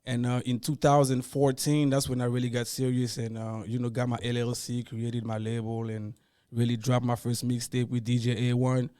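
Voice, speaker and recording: A man in his thirties from Mali, a rapper guest, talking in a relaxed, laid-back way that often trails off softly. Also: medium pitch, moderate pace, clean tone, no echo, studio quality. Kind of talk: casual interview chat.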